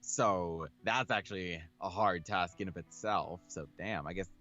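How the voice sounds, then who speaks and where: A man reading a visual novel aloud as a game streamer, with casual, expressive commentary along the way. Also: deep voice, unhurried. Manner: animated, expressive. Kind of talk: let's play narration and casual commentary. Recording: dull audio with music underneath.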